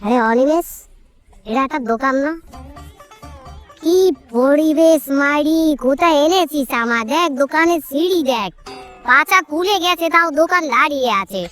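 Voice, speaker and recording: An actor voicing a bossy, stingy, complaining uncle from Kolkata in a comedy cartoon, through a pitched-up voice filter. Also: moderate pace, fairly bright tone, faint music behind. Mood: grumbling. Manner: complaining, scolding, haggling. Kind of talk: comedic cartoon dubbing, exaggerated dialogue.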